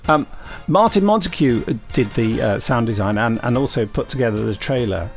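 A man's voice: medium pitch, lively intonation, normal speed, faint music behind. Dry, joking man co-hosting. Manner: dry, jokey, trails off quietly. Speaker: podcast co-host. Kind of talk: casual chat, reading news.

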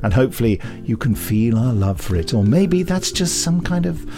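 A man's voice: mid-range voice, very animated, moderate pace. British man presenting with wry asides, music behind. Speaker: podcast co-host. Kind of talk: chatty podcast presenting.

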